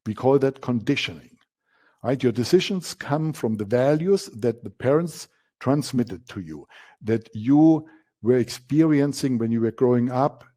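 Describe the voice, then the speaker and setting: German-accented man with a mellow voice. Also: mid-range voice, expressive, a little slow. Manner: emphatic, repeats key words, frequent fillers. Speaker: coaching instructor. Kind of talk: masterclass presentation.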